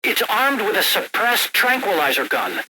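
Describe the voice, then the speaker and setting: A proud, eager male military engineer presents gear in a video game. His voice is high and very bright, with lively swings. Faint noise sits behind it. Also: moderate pace, full character voice, poor audio. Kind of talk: scripted video game briefing.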